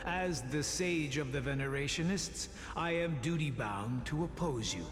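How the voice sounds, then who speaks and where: A solemn sage and mentor, acted by a man, speaking slowly and formally in a long reverb. His kindly, paternal game-character delivery is measured, with music clearly behind it. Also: on the high side, lightly acted. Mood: solemn, encouraging. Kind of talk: dramatic video game voice acting.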